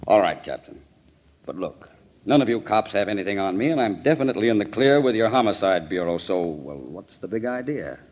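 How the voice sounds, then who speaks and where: An actor playing a suave, smug crime boss with a pompous, bookish politeness in a 1940s radio drama. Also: male voice, fairly low pitch, lively intonation, moderate pace, darkish tone. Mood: mock-polite. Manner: bookish, pompous, genteel wording. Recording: muffled old radio recording.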